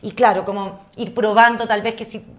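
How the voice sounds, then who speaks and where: A woman's voice: mid-range voice, flat delivery, normal speed. A woman with a Chilean accent, an actress talking enthusiastically and colloquially as a guest in a casual conversation.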